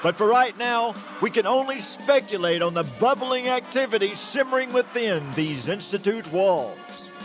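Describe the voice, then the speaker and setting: High-pitched showy news reporter. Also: male voice, lively intonation, normal speed, few pauses, muffled recording, over music. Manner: punning, showy. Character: chipper, sensationalist male TV news reporter.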